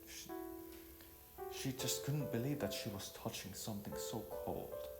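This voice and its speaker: Male narrator for an audio novel: unhurried, halting delivery, roomy sound over music. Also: fairly low pitch. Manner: halting, repeats phrases. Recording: echoey room with music underneath.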